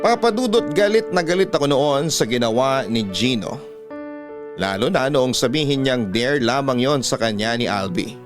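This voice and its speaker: A man's voice: mid-range voice, expressive, moderate pace. A man with a Filipino accent reading a first-person story in the scripted style of classic radio storytelling. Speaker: host and story narrator. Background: background music.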